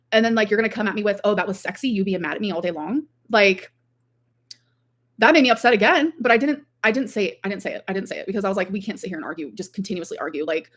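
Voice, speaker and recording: Woman livestreamer rambling casually to her viewers, says like a lot, medium pitch. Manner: rambling, frequent "like". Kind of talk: casual livestream chat, reading out viewer comments.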